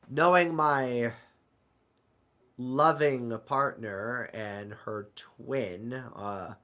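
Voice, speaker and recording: A man playing a flustered, apologetic multiverse traveler stranded in a void, halting and repeating words in a loose improvised audio roleplay. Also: on the low side, a bit bright. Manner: halting, stammering, repeats words, thinks aloud. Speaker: roleplay performer.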